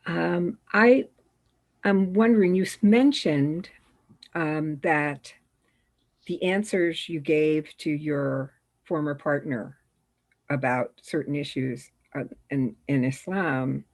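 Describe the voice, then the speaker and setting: A woman's voice: low-pitched, slow, a little mellow. A woman in the audience asking a long, hesitant question full of fillers. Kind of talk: casual conversation.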